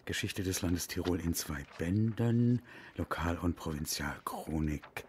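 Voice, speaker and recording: An actor playing a wry, bookish Viennese historian in a German audio drama, with a husky voice. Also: male voice, low voice, lively intonation, measured pace, darkish tone, a little hiss or hum. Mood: absorbed, reading aloud. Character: bookish, self-mocking male Viennese historian, wry and absorbed.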